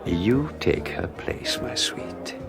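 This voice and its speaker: A scheming, smooth-tongued man in a radio play, his deep voice sliding up and down as he mocks and plots. Music plays behind him. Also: expressive, full character voice. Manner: silky, mocking, drawn-out delivery. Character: scheming suave man plotting with his lover. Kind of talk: 1980s radio drama acting.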